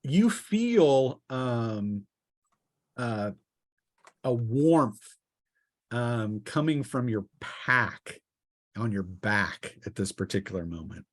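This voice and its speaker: A man running a tabletop game as game master, improvising narration in a halting, piece-by-piece way. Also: mid-range voice, big pitch swings, no room sound. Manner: halting, piecing descriptions together aloud.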